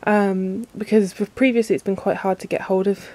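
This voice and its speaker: A woman's voice: mid-range voice, fairly even intonation, moderate pace, darkish tone. A woman, chatting casually in a book vlog, full of ums and likes, sniffly and congested from a cold. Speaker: book vlogger. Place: work bathroom.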